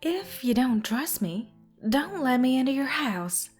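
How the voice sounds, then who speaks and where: Audio drama: actress as a no-nonsense ghost-hunting woman, expressive. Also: high-pitched, unhurried, soft background music. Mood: challenging. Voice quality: blunt, dry, confident. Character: cool-headed ghost-hunting woman, adult. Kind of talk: fan audio drama acting.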